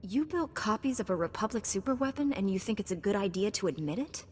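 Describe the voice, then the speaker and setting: A woman's voice: mid-range voice, quick pace, slight background noise, lightly acted. Composed heroine played by a woman, reverberant hall. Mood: dry, diplomatic. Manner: calm, dry, assured. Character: composed, confident heroic female Jedi knight. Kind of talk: video game voice acting.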